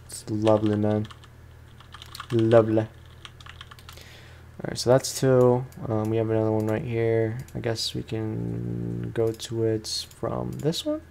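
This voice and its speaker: Low-voiced man chatting through a game. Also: little pitch movement, slow, vocal fry, long pauses, warm tone, slight background noise. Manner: rambling, slangy, conversational. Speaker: gaming streamer. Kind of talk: casual let's play commentary.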